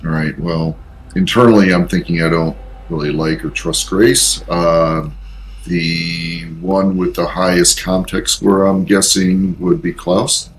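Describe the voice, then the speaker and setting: Low voiced guy chatting as a player in a tabletop rpg, little bit of noise. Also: fairly even intonation, measured pace, poor audio. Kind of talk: casual game-table talk. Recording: faint background noise.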